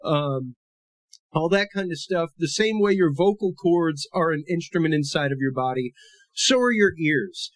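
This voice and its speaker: Male podcast host, big full voice a touch on the high side, dry close sound, easygoing explainer. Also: lively intonation, normal speed, no room sound. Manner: conversational, loose and explanatory. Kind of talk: casual educational podcast monologue.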